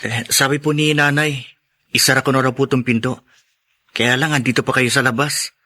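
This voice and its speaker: An earnest schoolboy son in radio drama: polite, plaintive, sharp bright voice, mid pitch. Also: male voice, steady tone, normal speed. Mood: concerned. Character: earnest schoolboy son, troubled by quarrelling parents.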